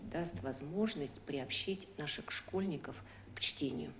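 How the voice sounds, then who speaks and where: Low-voiced woman lecturer in a roomy hall. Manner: measured, with frequent hesitations. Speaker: university lecturer. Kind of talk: round-table discussion. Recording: roomy hall with faint noise.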